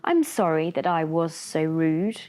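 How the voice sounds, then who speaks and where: A woman voicing a loud, pompous storybook king in a children's tale, with music behind. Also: sits low, a little slow. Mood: pleading, contrite. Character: blustering, rude king turned apologetic, storybook adult man.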